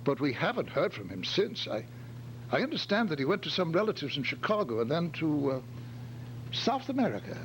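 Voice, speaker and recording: A man's voice: fairly high pitch, big pitch swings, brisk, rough recording. A man playing an easily startled, well-off businessman with a hesitant, stammering delivery in an old-time radio detective drama. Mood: anxious recalling. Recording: faint hum and radio-like background noise.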